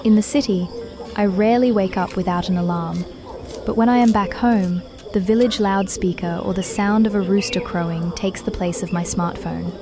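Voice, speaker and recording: A woman's voice: mid-range voice, steady tone, moderate pace. Young woman narrating over music. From Vietnam. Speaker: vlogger. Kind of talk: scripted travel narration. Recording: voice-over with clear background music. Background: background music.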